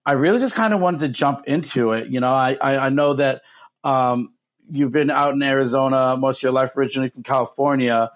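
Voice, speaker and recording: A male podcast host talking in a voice of middle pitch. He is friendly and loose, often pausing on fillers as he sets up his questions. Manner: friendly, hesitant with many fillers. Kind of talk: casual interview.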